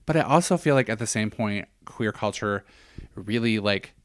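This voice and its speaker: A man with a fairly low voice and a slight lisp, talking loosely as a podcast co-host. He rambles, circles back, and leans on fillers. The sound is dry and close, with no room around it. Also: normal speed, no room sound. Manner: chatty, rambling with many fillers. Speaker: professional hair stylist. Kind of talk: casual chat.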